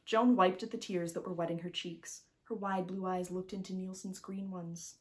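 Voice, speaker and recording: A woman narrating a children's storybook as its author, in an expressive, emphatic way that speaks directly to the listener. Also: on the low side, moderate pace.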